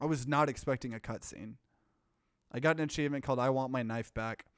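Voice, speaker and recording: A man's voice: medium pitch, quick pace, smooth. A man doing casual let's play commentary, reading game text aloud and reacting to it as a streamer.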